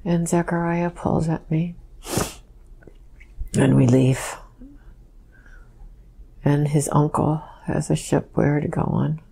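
A woman recalling events in a slow, halting, hushed whisper as she answers questions. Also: sits low, fairly even intonation, gravelly, a little hiss or hum. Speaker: session subject answering questions. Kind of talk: slow whispered first-person recollection.

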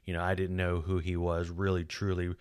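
A Texan man in his forties talks in a low, deep voice, rambling through a casual solo video with fillers and restarts. He speaks close into a studio mic in a small home room, and the sound is dry and close. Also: completely flat delivery, a little fast, a little mellow, smooth, dry recording. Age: 40s. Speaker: podcast host. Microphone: boom-mounted studio mic close up.

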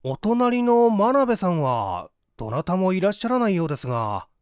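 A woman's voice: on the low side, very animated, moderate pace, darkish tone, no room sound, clean studio sound, voice pushed up. A woman voicing a timid, down-and-out man, polite and hesitant, in a story reading. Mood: polite, tentative. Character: timid, flustered, down-and-out young man, polite and weary.

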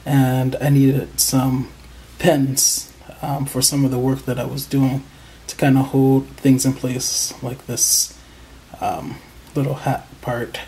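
A crochet channel host in a casual show-and-tell, sounding excited and proud and pausing often with hesitant ums. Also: mid-range voice, poor audio. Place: indoors at a table.

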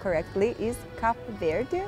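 A woman's voice: fairly high pitch, sing-song, normal speed, over music. A young woman host with a Serbian accent, asking curious questions in non-native English on an outdoor café terrace. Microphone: lapel mic.

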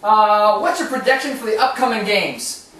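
A man's voice: high-pitched, moderate pace, fairly bright tone, slight background noise. A man playing a reporter who eagerly and pushily calls out for the coach's attention. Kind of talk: comic press conference skit. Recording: echoey room.

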